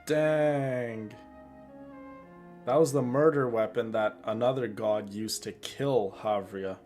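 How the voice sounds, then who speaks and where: A man's voice: medium pitch, slow pace, a little mellow, faint music behind. A man giving casual commentary as he plays a game, repeating phrases for emphasis. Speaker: gaming streamer.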